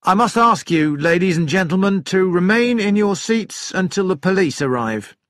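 A man's voice: high voice, expressive, normal speed, no echo, studio quality, pitched above the performer's own voice. A man voicing a dutiful airline steward in an audiobook, speaking formally and deferentially. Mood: apologetic, firm.

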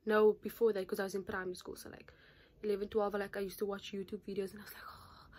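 A nineteen-year-old woman talking casually to camera in a vlog, chatty and animated, with constant fillers, filmed at arm's length in a small bedroom. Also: mid-range voice, quick pace. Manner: chatty, animated, gestures a lot, says "like" constantly. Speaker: YouTuber. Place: small bedroom in front of a patterned curtain. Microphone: phone or camera at arm's length.

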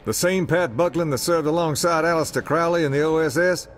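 An actor playing an earnest special forces soldier in a video game cutscene, speaking in a measured way. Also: male voice, a little high, natural voice. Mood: surprised, earnest.

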